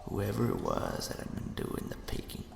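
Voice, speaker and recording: A man voicing the sinister ghost of a murdered henchman, telling a spooky tale in a hushed, folksy, menacing way for an ASMR roleplay. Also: fairly low pitch, wildly swooping pitch, moderate pace, noisy background, full character voice. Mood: ominous storytelling. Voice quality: breathy, gravelly whisper. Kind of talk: ASMR roleplay, scary storytelling. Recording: reverberant, cavernous echo.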